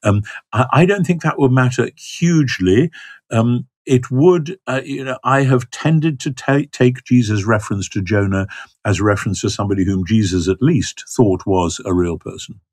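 An older man answers questions as a biblical scholar, thoughtful and halting, with many ums and restarts. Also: medium pitch, darkish tone, husky, no room sound, studio quality. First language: not Hebrew. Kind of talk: thoughtful conversational explanation.

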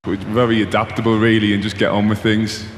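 A footballer speaks in a flat, matter-of-fact way. His voice is low and barely moves in pitch. The roomy recording carries faint background noise. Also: quick pace.

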